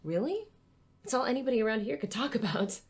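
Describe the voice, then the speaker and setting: A woman's voice: a little high, sing-song, quick pace, slightly rough. A young woman playing a cheerful, patient tutor in a web series, upbeat and playfully teasing, acted naturalistically. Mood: casual, evasive.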